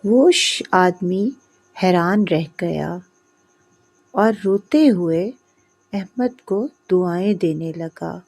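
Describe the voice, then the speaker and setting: A woman narrates a scripted moral story. Her voice is on the low side, very mellow and husky. She sets out the tale in short, measured phrases with pauses between them.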